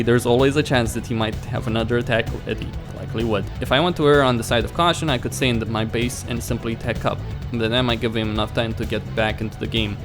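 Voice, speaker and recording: A man narrates a visual novel as a let's play host. His pitch sits in an ordinary male range. He reads aloud with occasional stumbles, and music plays clearly behind him. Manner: stumbles over words while reading. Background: background music.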